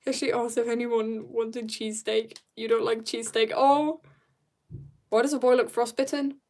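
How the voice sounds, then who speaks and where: A young woman with a fairly high voice chats informally to viewers while she draws. Her talk is rambling and slangy, full of asides and giggly in manner. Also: little pitch movement, moderate pace, a little mellow. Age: teenager or young adult. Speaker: streamer drawing and chatting. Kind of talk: casual livestream chat.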